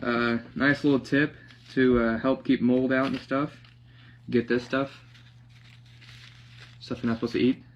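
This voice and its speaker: A young man casually narrating a hands-on project, half talking to himself in a halting mutter as he works in a living room. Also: mid-range voice, level tone. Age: young adult. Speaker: DIY vlogger. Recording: dull lo-fi sound, clear background noise.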